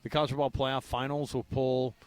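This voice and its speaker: An American man co-hosting a sports radio show in casual talk, animated and repeating phrases for emphasis, often with rhetorical questions. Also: medium pitch, a little fast, clear, resonant tone.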